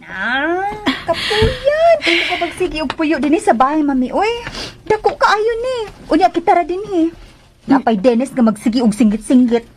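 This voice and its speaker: A restless, spoiled daughter in a radio drama. She whines and exclaims at a very high pitch with big swoops. There is faint noise behind her. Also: very animated, normal speed. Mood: whiny, impatient. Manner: whining, exclaiming.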